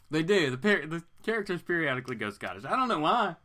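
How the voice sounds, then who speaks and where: A man with a bright, fairly high voice hosts an informal comic reading. His pitch moves around a lot as he narrates theatrically and tosses in joking asides. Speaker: host reading comics aloud.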